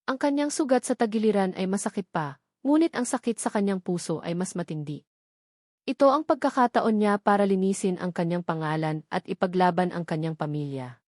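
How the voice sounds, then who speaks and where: A woman storyteller reads a scripted drama. Her voice sits at an average pitch for a woman. The sound is very dry, with no room around it. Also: brisk, a little mellow, no room sound.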